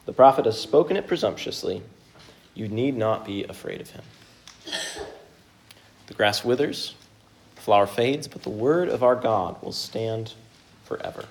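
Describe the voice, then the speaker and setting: A man preaching a sermon, reading scripture, praying and then teaching in an earnest, measured way with pauses. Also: mid-range voice, a little mellow. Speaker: pastor.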